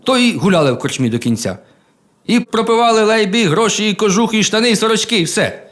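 A man telling a humorous folk tale in a colloquial, expressive, folksy manner. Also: high-pitched, fast talker. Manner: colloquial, expressive, with chuckles and exclamations. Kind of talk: folksy humorous oral storytelling. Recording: music behind the voice.

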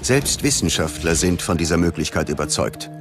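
Grave, low-voiced male documentary narrator. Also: animated, normal speed. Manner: grave, dramatic. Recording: music bed behind the voice. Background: background music.

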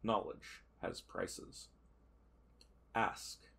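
A man voicing a cryptic prophet of a dark god in a tabletop game, formal, oracular and terse. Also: very slow. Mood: solemn.

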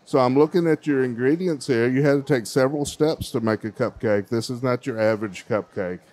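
A man's voice: medium pitch, a little fast, a little mellow. Guy in his 50s hosting a fair contest on a handheld mic, chatty, cheering the kids on. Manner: friendly, encouraging, chatty. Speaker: contest host. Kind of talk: emcee interviewing child contestants. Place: large indoor fair hall.

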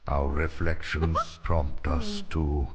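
An actor playing a wise, benevolent old sorcerer who narrates a storybook game in a solemn, measured, reflective, grandfatherly manner. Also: rumbling low, fairly even intonation, very noisy.

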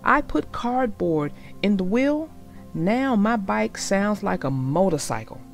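Woman voicing a proud, animated little rabbit boy. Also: mid-range voice, takes it slowly, vocal fry, soft background music. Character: proud young male rabbit, a little sensitive.

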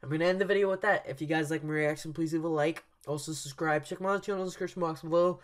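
Excitable young man with headset, rambling. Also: on the high side, very fast. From Cuban heritage. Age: young adult. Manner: casual rambling with many fillers and restarts, loud excited outbursts. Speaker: YouTube reaction host. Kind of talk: excited live reaction chat. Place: dark bedroom at night. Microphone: headset, webcam at desk.

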